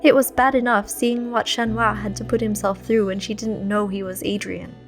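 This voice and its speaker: A woman reading a scripted story aloud in an expressive, literary way. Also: mid-range voice, moderate pace, soft background music. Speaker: fan fiction reader.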